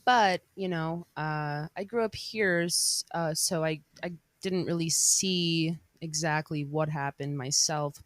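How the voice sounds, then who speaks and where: A young woman, born in Bosnia and raised in Colorado, chatting casually as a podcast guest, relaxed and hesitant with many ums. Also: deep voice, no room sound. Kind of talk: casual conversation.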